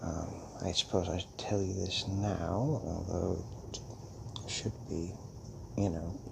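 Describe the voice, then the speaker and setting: A man playing a calm, fatherly old vampire mentor in an intimate audio roleplay, musing hesitantly with many pauses. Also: deep voice, creaky, noisy background, exaggerated voice. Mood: pensive, grave.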